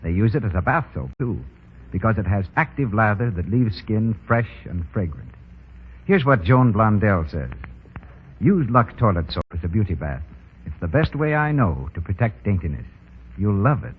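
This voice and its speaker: A man's voice: lowish voice, breathy edge. A man announcing and reading scripted advertising in 1930s radio style. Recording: muffled, noisy 1930s radio recording.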